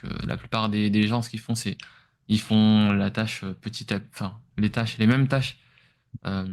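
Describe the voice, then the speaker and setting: Low-voiced Frenchman explaining informally. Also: a little mellow. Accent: French from France. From France. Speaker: podcast guest, entrepreneur. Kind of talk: conversational interview answers.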